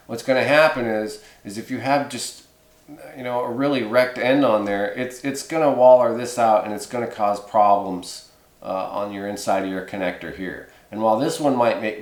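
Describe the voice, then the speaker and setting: A man hosting a hands-on how-to video in casual, folksy, rambling talk. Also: on the low side. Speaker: host of a hobby how-to video. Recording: echoey room.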